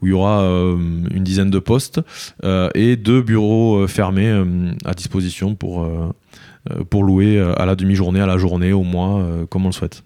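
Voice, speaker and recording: Male interview guest, smooth and mellow, halting explanatory delivery. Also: deep voice, fairly even intonation. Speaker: interview guest, village mayor. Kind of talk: conversational interview answers.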